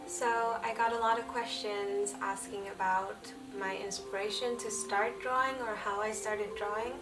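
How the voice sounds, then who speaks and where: Slow-talking Finnish woman, mic set back. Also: medium pitch, distant mic, poor audio. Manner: relaxed, chatty, laughs lightly. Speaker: illustrator vlogger. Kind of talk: casual Q&A chat.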